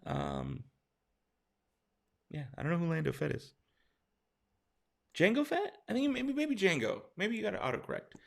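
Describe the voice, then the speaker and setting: A man with a hoarse, tired voice, casually reading out viewer questions and answering them on a late-night live stream. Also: high voice, wildly swooping pitch, normal speed, pauses often.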